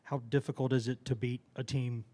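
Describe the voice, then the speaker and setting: A man's voice: mid-range voice, little pitch movement, a little fast, warm tone. A man with a mid-range voice and very little rise or fall in it. He sounds like a sports reporter laying out a question at a press conference.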